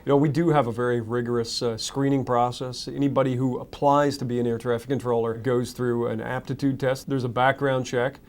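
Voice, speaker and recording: A man's voice: medium pitch, little pitch movement, fairly quick, hardly any pauses, a little mellow. A man answering interview questions as an agency spokesman.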